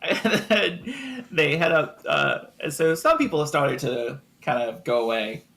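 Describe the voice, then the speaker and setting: A man narrating a tabletop game as game master, improvising with frequent ums and pauses. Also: on the high side, big pitch swings, a bit bright.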